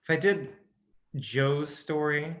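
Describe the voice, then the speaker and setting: A man's voice: on the high side, fairly even intonation, slow pace, a bit bright. Hesitant man with a Midwestern accent. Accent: Midwestern American. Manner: hesitant, many restarts and fillers. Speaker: audiobook narrator. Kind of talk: casual interview chat.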